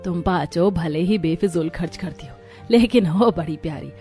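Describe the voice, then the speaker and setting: A woman's voice: lowish voice, normal speed, mellow, soft background music, close to an everyday voice. A woman playing a worried, scolding middle-aged mother in a moral story, speaking in an earnest, admonishing way. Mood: fond scolding. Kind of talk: Hindi moral story voice acting.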